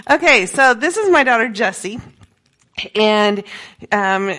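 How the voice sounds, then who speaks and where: A middle-aged woman with an American accent gives an informal talk to a group as a missionary, conversational and halting, often correcting herself. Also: on the high side, animated, sharp tone. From grew up in Peru as an American missionary kid. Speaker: missionary speaker giving a presentation.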